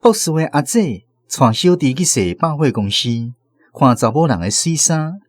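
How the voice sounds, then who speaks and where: A man with a Taiwanese accent narrating a scripted radio drama story in an expressive, literary way. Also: a little high, moderate pace, no echo, studio quality. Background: faint music.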